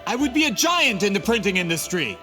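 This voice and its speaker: Chciwego kupca-intryganta, wysoki jasny głos, mocno modulowany, pogłos i muzyka w tle. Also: male voice, sits high, very animated, a little fast. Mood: bitter. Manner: smug, scornful, calculating. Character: greedy scheming adult male printing merchant. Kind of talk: game cutscene voice acting. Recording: game audio with reverb and music.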